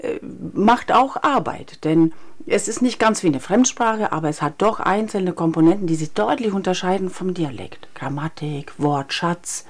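Woman with a low voice, speech coach, slow and thoughtful, ticks off points. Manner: thoughtful, deliberate, lists points slowly. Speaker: speech trainer, co-author. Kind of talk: radio interview guest.

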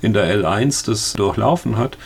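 A man talks as an expert guest, his voice low and gravelly. He explains things in a matter-of-fact way and restarts his sentences now and then. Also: normal speed. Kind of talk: explanatory discussion.

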